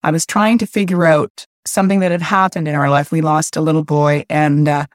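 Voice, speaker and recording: Low-voiced older woman novelist. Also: fairly even intonation, a little fast, dry recording, clean studio sound. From Canada. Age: 60s. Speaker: guest, novelist. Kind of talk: casual interview chat.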